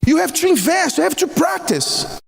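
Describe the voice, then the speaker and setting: A man from Brazil with a strong Brazilian accent, a native Portuguese speaker, giving marriage advice. He is emphatic, repeats his points and keeps asking the listener questions. His voice is high and swings widely, and it rings in a reverberant room. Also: very animated, normal speed, creaky, shouting. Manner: emphatic, repetitive, rhetorical questions. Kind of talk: recorded motivational talk.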